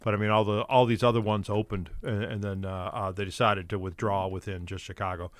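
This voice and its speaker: A man's voice: lowish voice, flat delivery, fairly quick, dry recording. An older man, a guest comedian, telling rambling stories in a casual podcast chat with lots of fillers and restarts.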